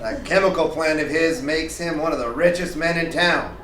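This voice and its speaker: A man's voice: a little high, moderate pace, a bit bright. A man performing at a live comics reading, bantering casually and comedically. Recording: echoey, distant live recording with noise.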